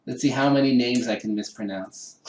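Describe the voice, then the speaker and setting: A man's voice: medium pitch, normal speed, darkish tone, echoey room, a little hiss or hum. Guy live-streaming a build at home, chatty, jokes at himself, voice bouncing round the room. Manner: chatty, self-deprecating, laughs often, talks to viewers. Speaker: livestreamer building a 3D printer kit. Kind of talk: casual live-stream chat while assembling. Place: home room with a garage downstairs.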